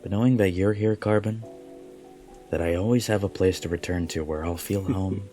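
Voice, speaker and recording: Male actor as earnest, gentle cafe customer in a game, deep voice, music softly behind. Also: fairly even intonation, moderate pace, natural voice. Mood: sincere.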